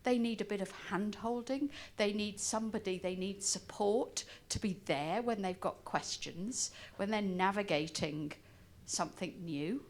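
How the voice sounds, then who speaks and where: A woman's voice: medium pitch, measured pace. A woman from Wales giving emphatic, argumentative opinions as an audience member in a conference discussion. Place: conference breakout room, speaking from the audience.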